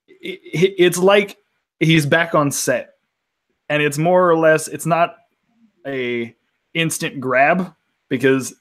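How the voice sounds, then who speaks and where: A man's voice: a little high, fairly bright tone. Conversational man improvising in a role-playing game. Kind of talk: casual improvised role-play.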